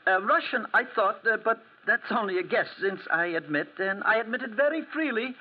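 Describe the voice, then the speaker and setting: A man's voice: sits high, lively intonation, fairly quick. A man playing a nervous, fussy French tobacconist in a 1940s radio drama, hesitant and repeating himself. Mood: flustered, apologetic. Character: nervous, dithering, eager male French tobacconist. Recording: thin, tinny 1940s radio recording.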